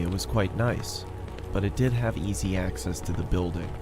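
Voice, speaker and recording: A man's voice: low voice, creaky. A man reading a scripted first-person horror story as its narrator.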